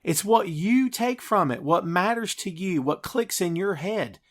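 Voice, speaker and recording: A man hosting a podcast, chatting casually about movies in an animated, emphatic, slangy way. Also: high-pitched, measured pace. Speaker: podcast host. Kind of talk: casual movie review chat.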